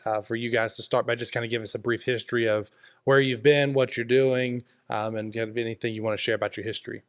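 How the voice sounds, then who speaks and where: A man's voice: medium pitch, clean tone. A man co-hosting a podcast, introducing guests in a casual, filler-heavy, upbeat way. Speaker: podcast co-host.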